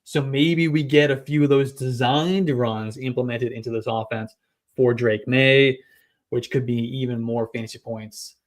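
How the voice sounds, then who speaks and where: A man hosting a fantasy football podcast, delivering brisk, stat-heavy analysis in casual chat. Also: mid-range voice, fairly even intonation. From New England.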